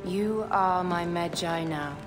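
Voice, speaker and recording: A woman's voice: on the low side, takes it slowly. An actress playing a regal, assured queen of ancient Egypt in a video game drama, speaking formally. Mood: commanding. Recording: loud music underneath.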